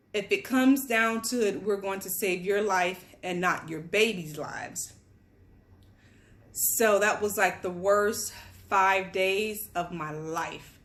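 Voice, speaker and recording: A woman's voice: medium pitch, a bit bright. Candid young woman from Guyana, chatty home storyteller, mid-range voice. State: pregnant with twins, on bed rest. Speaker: vlogger. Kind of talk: casual personal story to camera. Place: home, at a table among boxes.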